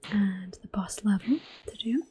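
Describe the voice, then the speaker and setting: Slow, whispery ASMR woman gamer. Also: medium pitch, soft background music. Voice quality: soft, breathy, often whispered. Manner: gentle, unhurried. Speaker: gameplay host. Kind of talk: ASMR gaming commentary.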